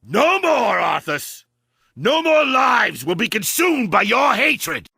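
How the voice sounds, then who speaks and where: An actor playing a righteous, fierce holy warrior in a video game, declaiming with raspy, impassioned resolve. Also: male voice, very high voice, very animated, slow, very noisy, heavily acted. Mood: furious, rallying. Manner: impassioned, declamatory battle delivery. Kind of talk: dramatic video game acting.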